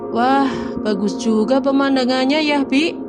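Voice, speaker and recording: A woman voicing a lively, talkative young mother in a cartoon. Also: sits high, unhurried. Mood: chatty. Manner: casual and chatty. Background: music.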